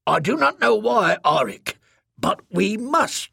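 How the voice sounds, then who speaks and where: A man voicing a meek, puzzled alien female in an audiobook, speaking in a halting, solemn way. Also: sits high, big pitch swings, creaky, bright, forceful tone, husky, dry recording, clean studio sound, voice pushed up, exaggerated voice. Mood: confused, insistent. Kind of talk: audiobook character dialogue.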